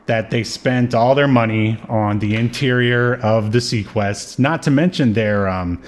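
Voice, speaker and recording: A man's voice: medium pitch, measured pace. A man with a mid-range voice talks casually as a podcast co-host. He rambles as he goes and likes to argue a point in a playful way. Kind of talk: casual chat about an old TV show.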